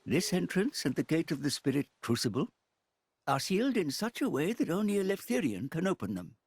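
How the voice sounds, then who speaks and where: Husky, solemn old guardian. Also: male voice, on the high side, very animated, a little fast, darkish tone, dry recording, clean studio sound, exaggerated voice. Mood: grave. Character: solemn, wise old village guardian mentor. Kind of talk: video game cutscene acting.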